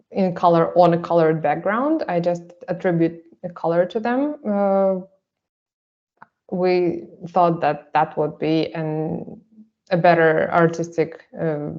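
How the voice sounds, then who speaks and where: Woman, artist giving a panel talk, hesitant, lowish voice, muffled audio. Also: darkish tone. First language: Russian. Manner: halting, hesitant, frequent pauses. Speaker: artist presenting her work. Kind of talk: panel presentation.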